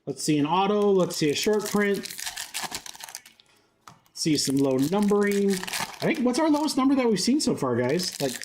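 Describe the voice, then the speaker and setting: A man's voice: high-pitched, big pitch swings, normal speed, pauses often, background noise. A congested young man with a head cold, chatting upbeat on a live stream while he rattles off cards. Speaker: card break streamer. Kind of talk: live-stream card break commentary.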